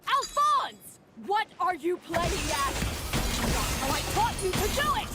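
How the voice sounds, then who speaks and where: A woman's voice: extremely high pitch, big pitch swings, measured pace, exaggerated voice. A woman voicing a strict female head chef who barks orders and scolds her cooks, in a game. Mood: scolding. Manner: scolding, commanding. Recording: game audio with loud music behind.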